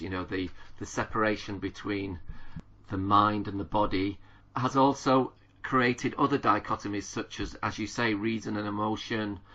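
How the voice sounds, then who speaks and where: Male podcast host, bright and forward, measured questioner, slightly dull audio. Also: lowish voice. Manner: measured, asks long framing questions. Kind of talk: conversational interview.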